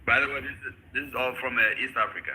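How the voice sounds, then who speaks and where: A man's voice: mid-range voice, very fast, pushed and bright, breathy edge, rough recording. A man from Ethiopia casually explaining things as a guest on a live stream. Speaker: live-stream guest showing his instruments.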